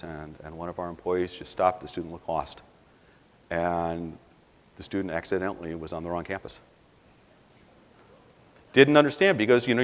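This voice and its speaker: Low-voiced man speaking in a hall. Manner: earnest, rambling with fillers and restarts. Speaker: college administrator addressing staff. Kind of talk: informal speech to staff. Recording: long hall reverb.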